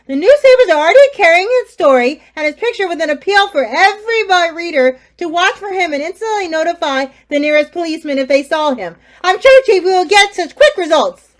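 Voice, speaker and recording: An actress playing a confident police inspector in a radio play, brisk and matter-of-fact. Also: female voice, extremely high pitch, big pitch swings. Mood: briefing. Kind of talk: community theater radio play.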